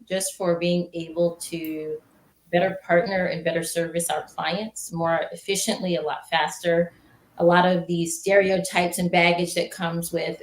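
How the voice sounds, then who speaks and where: A woman's voice: fairly low pitch, echoey room, rough recording. A woman who leads HR, introducing herself on a panel video call in an eager, flowing way. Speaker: HR leader, panelist.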